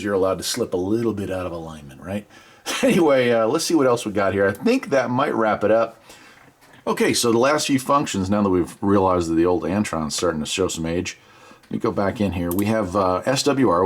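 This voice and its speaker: American guy, kinda low voice, doing a laid-back folksy demo video, thinking out loud as he goes. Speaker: radio hobbyist video presenter. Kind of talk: casual product demonstration.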